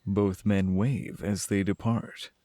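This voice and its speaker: A man's voice: low-pitched, flat delivery, slow pace, mellow, no echo. A man narrating a scripted fantasy audio drama in the third person.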